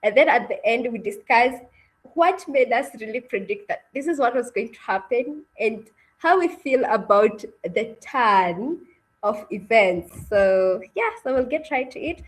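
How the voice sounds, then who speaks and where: A woman's voice: on the high side, lively intonation, unhurried, low-quality recording. A woman hosting an interactive online read-aloud session and narrating the story, encouraging and often checking in with her listeners. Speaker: webinar host and story narrator. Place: online video call.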